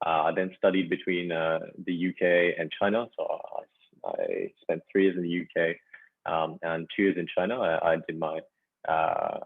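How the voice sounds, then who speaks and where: A young man from France talks haltingly through a podcast interview, dotting his answers with fillers. His voice sits very low. It comes through a tinny, phone-like call line that sounds dry and close. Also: no room sound. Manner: halting, full of "you know" fillers. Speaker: startup founder guest.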